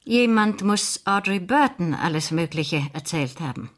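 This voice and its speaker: An actress playing a shrewd, proper spinster in a German radio play, formal, measured and coolly probing. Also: female voice, lowish voice, lively intonation. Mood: probing, pointed. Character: composed, proper, shrewd older spinster investigating.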